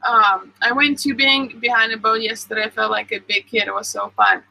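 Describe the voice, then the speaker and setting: Casual young female streamer, upbeat and talkative, crisp bright voice, dry close mic. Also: on the high side, expressive, normal speed, no room sound, low-quality recording. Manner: chatty, answers viewer comments with many ums. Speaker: vlogger reading live chat. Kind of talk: casual live stream chat.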